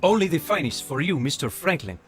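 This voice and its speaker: An actor playing an obsequious luxury hotel concierge in a radio drama, formal, polished and grandiose. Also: male voice, on the high side, very animated, a little fast, loud music behind the voice. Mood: fawning, proud.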